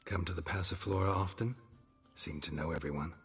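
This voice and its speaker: An actor playing a gruff, terse monster hunter in a video game, husky and deadpan. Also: male voice, low voice, brisk, exaggerated voice. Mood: wary, dry. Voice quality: gravelly, husky. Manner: clipped, deadpan. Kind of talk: video game dialogue acting. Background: background music.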